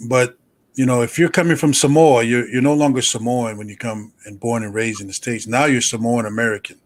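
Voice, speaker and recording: A man's voice: medium pitch, fairly even intonation, a little fast. A man hosting an interview, affable and reflective, who often checks in with "right?" as he makes his points. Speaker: interview host. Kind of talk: conversational interview.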